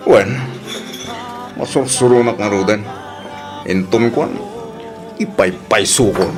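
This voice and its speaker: A man's voice: lowish voice, takes it slowly, music in the background. A man acting an evasive, hesitant married man in an Ilocano audio drama, with a Filipino accent. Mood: evasive, uneasy.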